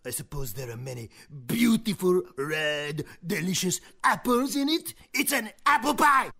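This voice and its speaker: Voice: mid-range voice, sing-song, a little slow, bright, forceful tone, breathy edge, full character voice. An actor playing a pompous, indignant male chef with a fake French accent. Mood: haughty. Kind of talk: comic radio play acting.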